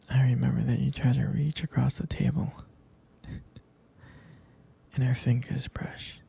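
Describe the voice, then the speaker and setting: Man playing a tender devoted boyfriend, ASMR roleplay, breathy whisper, very dark soft tone, slow hesitant delivery, repeated phrases. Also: medium pitch, exaggerated voice. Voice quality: breathy, husky whisper with gravelly fry. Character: devoted, smitten boyfriend reminiscing tenderly to his lover.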